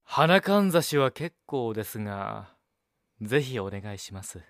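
An actor playing a young café assistant in an audio drama, speaking formally, courteously and without getting ruffled. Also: male voice, on the low side, big pitch swings, unhurried, no echo, clean studio sound, full character voice. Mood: composed. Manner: courteous formal speech, gently unruffled. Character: polite, composed young male café assistant. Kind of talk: Japanese audio drama acting.

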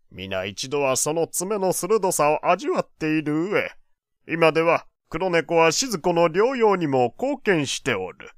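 An actor playing a genial, slightly pompous old gentleman with old-fashioned, avuncular speech in an audio drama. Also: on the high side, no echo, studio quality. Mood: thoughtful. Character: genial old gentleman, pompous but kindly family man. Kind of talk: Japanese audio drama acting.

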